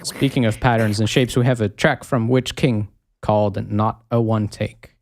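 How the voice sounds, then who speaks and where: Low-voiced man hosting a chat. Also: steady tone, moderate pace, a little mellow. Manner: brief, attentive questions. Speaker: host. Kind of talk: casual chat.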